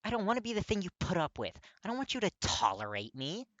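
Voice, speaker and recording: A man voicing an anxious, tearful, self-blaming young man in a dramatic audiobook reading, halting and pleading. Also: high voice, wildly swooping pitch, fairly bright tone, no echo, dull audio, voice pushed up, heavily acted. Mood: desperate.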